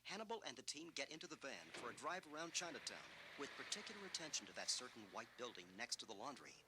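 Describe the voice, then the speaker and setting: A man's voice: medium pitch, big pitch swings, fast talker, background noise. A man giving theatrical, suspenseful scripted narration for a 1980s story record. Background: music.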